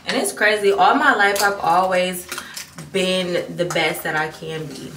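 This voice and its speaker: A woman's voice: fairly low pitch, a little slow. A young woman in her twenties talking candidly to the camera as a beauty vlogger, rambling and restarting her sentences. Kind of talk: casual chat to camera. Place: bathroom at home, indoors. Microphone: camera mic at a distance. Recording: echoey bathroom, distant mic, background noise.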